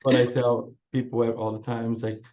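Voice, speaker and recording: A man's voice: mid-range voice, level tone, normal speed, dark tone, lo-fi sound. A man, chatting casually as a chef guest in an interview, with easy fillers and restarts. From southern Brazil.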